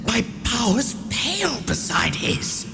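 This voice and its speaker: Slow, creaky defeated vampire. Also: female voice, fairly high pitch, big pitch swings, full character voice. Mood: bitter, dying. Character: defeated, proud vampire, bitter and mocking. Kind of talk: video game cutscene acting. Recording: music behind the voice.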